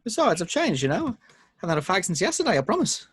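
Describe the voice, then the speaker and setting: A man playing a defeated, self-blaming young man under zombie siege in a table read, halting and exasperated. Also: sits high, huge pitch swings, breathy edge, dry recording, studio quality, full character voice. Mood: playful, pleading.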